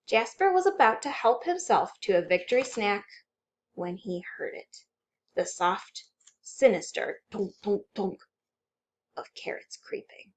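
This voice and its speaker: A woman's voice: medium pitch, animated, measured pace. A woman working as a children's librarian, reading aloud expressively with dramatic, suspenseful delivery. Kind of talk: animated children's story read-aloud.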